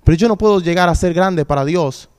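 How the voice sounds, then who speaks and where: A man's voice: sits high, fairly quick, darkish tone, clear, resonant tone. A man in his thirties, a youth pastor, preaching a sermon with call-and-response and emphatic repetition. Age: 30s.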